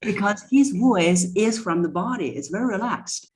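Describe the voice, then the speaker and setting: Female interview guest, a coach. Mid-range pitch, instructive delivery that walks through points with examples. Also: expressive, normal speed, darkish tone, low-quality recording. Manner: explains step by step with examples. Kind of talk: explanatory interview answers.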